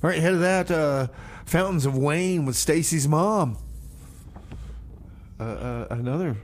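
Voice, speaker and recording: Slow-talking man hosting a radio music show. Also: a little high. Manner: folksy, hesitant, self-deprecating, repeats himself. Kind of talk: rambling music radio presenting between songs.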